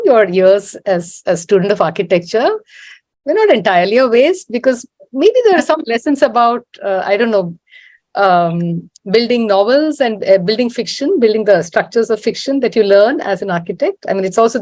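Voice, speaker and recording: A woman's voice: fairly high pitch, expressive, brisk, a little mellow, no echo. Thoughtful woman interviewer, dry sound. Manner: thoughtful, rambling questions with fillers. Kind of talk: casual conversation.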